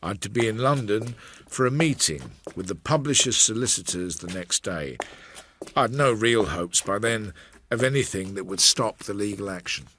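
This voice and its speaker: Low-voiced, measured Victorian investigator, music underneath. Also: male voice, expressive, sharp tone, a little hiss or hum. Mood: reflective. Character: measured Victorian investigator recounting a failed case. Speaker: narrator. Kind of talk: first-person radio drama narration.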